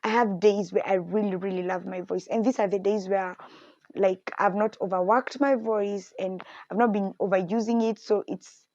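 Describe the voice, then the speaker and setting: A woman's voice: mid-range voice, moderate pace, no room sound, muffled recording. A young woman hosting a podcast, talking candidly and reflectively about faith and her insecurities. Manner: candid, reflective, animated when quoting others. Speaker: podcast host. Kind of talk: personal faith talk.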